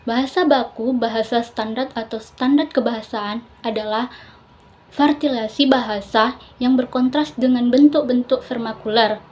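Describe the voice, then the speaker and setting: A young woman speaks in a fairly high voice. She sounds like a student delivering a prepared presentation, adding explanations as she goes. Some noise sits behind her voice in the recording. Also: moderate pace, a little mellow. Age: young adult. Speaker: university biology student presenting an assignment. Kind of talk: scripted presentation with explanatory asides.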